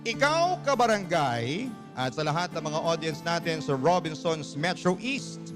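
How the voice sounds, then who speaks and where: A man's voice: a little high, lively intonation, a little slow. A man hosting a radio show, narrating a listener's letter as dramatic scripted storytelling. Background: background music.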